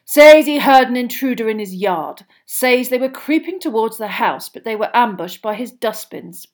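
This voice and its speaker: A woman voicing a flustered middle-aged male official, talking urgently and fast. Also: on the high side, animated, natural voice.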